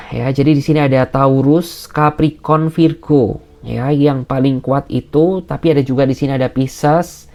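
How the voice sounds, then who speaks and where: Tarot reader, female, deep and mellow tone, direct personal advice, filler "ya" after each phrase. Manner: tags nearly every phrase with a soft "ya"; advising and reassuring in a direct, personal way. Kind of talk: general tarot reading addressed to the viewer.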